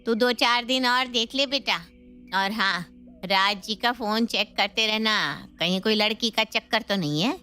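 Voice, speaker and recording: A woman's voice: fairly high pitch, a little slow, bright, forceful tone, clean tone, faint music behind. A woman playing a protective, indignant middle-aged mother in an Indian family story, emphatic and fussing. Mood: suspicious, protective. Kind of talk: scripted Hindi family story dialogue.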